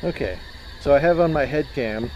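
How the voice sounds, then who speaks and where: A man's voice: medium pitch, moderate pace, dull audio, slight background noise. A man giving casual, joking and swearing live commentary while playing a co-op horror game as a streamer. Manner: jokey, profane.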